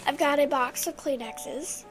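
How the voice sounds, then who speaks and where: A woman's voice: sits high, unhurried, faint music behind. A young woman with a stuffy, congested voice, chatting casually and rambling about her favorite books. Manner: chatty and rambling, with many restarts. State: congested from a cold. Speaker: book vlogger. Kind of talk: casual chat.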